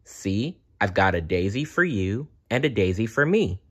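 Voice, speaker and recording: A man's voice: lowish voice, very animated, normal speed, breathy edge. A man voicing cheerful, caring cuddly teddy bears from a children's storybook in a friendly, encouraging manner.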